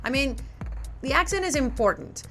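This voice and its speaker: A woman's voice: high voice, animated, moderate pace, sharp tone, faint music behind. A woman from Colombia, an English teacher appearing as a guest, talking confidently and repeating points for emphasis. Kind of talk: casual interview chat.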